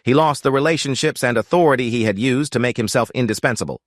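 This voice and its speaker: A man narrating a first-person story in a measured, sober way. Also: mid-range voice, no room sound, clean studio sound.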